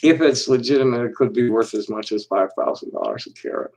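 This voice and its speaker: Guy rambling on his own livestream, lots of stops and restarts, reads stuff out slow and careful. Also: medium pitch, gravelly, a little mellow, poor audio. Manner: halting and rambling, many restarts; reads aloud with deliberate slow pauses. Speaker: livestream host. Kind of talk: casual solo livestream chat.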